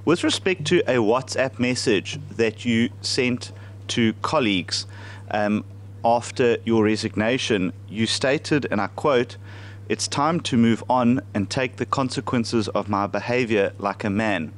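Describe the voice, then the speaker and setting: A man's voice: mid-range voice, a little slow. A young man formally questioning a witness in a parliamentary committee room.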